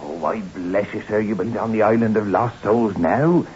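A man's voice: on the low side, moderate pace, a little mellow, lightly acted. A man playing a kindly, superstitious rustic seaman with a West Country accent in an old radio drama, folksy and respectful. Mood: kindly, deferential. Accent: West Country English. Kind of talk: 1960s radio drama acting. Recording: muffled old radio with hiss.

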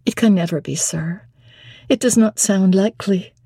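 A woman's voice: mid-range voice, normal speed, warm tone, slightly rough, no echo, clean studio sound. A woman voicing a shy young governess in love in a classic novel, hesitant, modest and earnest. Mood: doubting, earnest. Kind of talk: dramatic classic novel reading.

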